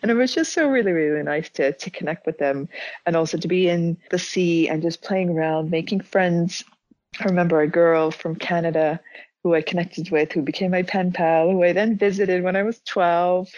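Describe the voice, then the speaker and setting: Reflective woman, low voice, dry sound. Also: steady tone, normal speed, a little mellow, no room sound, lo-fi sound. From Zimbabwe. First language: Dutch. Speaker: interviewee. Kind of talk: casual conversation.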